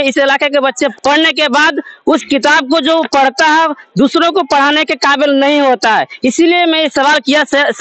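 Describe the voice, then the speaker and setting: High-voiced local reporter asking pointed questions. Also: male voice, sharp tone, dry recording, poor audio. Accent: Jharkhand Hindi. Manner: probing, speaks at length with restarts. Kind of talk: field interview, questioning panel.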